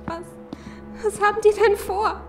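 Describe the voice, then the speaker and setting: Anxious survivor for audio drama: high, slow, pleading voice. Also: female voice, fairly even intonation, faint music behind. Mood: frightened. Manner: pleading, fretful.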